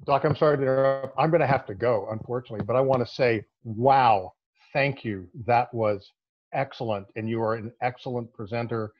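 A man in the audience thanking the presenter, talking fast and apologizing for having to leave. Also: medium pitch. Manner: quick, apologetic, effusive. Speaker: audience member. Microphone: video call. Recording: dull lo-fi video call audio.

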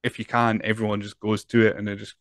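Scottish man, 30s, podcast host, lowish voice, rambling questions, close studio mic, dry sound. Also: level tone, quick pace, fairly bright tone, dry recording. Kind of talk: casual interview chat. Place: home studio room. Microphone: headphones and a large studio mic close up.

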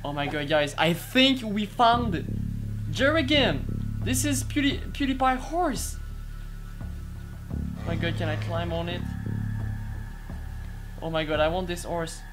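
A man's voice: high voice, slow pace, long pauses. Young male gaming host, non-native English, jumpy and hesitant, headset mic, music under the voice. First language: not English. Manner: excitable, hesitant, frequent exclamations. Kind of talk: casual gameplay commentary.

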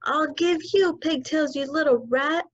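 A woman voicing a huge, brutal headmistress who barks and shouts, high and loud. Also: sing-song, normal speed. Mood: furious. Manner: barking, shouting. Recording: dull lo-fi dry recording.

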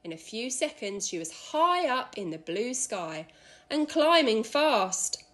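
Lively woman reading a storybook. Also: fairly high pitch, slow pace. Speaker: school staff member reading aloud. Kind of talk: expressive children's storybook narration.

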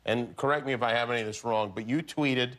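A man in his forties hosting a late-night talk show, teasing his guest with wry deadpan jokes in a TV studio. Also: medium pitch, quick pace. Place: TV studio set with city backdrop. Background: studio audience laughter.

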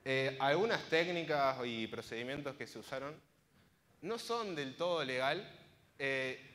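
A man's voice: medium pitch, animated, measured pace, fairly bright tone. A man in his twenties with an Argentine Spanish accent, giving an informal conference presentation in a casual, colloquial storytelling manner. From Córdoba province, Argentina. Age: 20s. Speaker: conference speaker, software engineer. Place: large auditorium stage, indoors. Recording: reverberant hall sound from a stage.

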